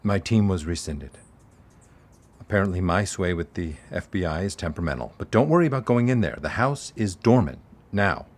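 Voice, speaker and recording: An actor playing a worried investigator who uses a wheelchair and pleads with his sister in an audio drama, with a rough voice. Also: low-pitched, animated, fairly quick. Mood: insistent.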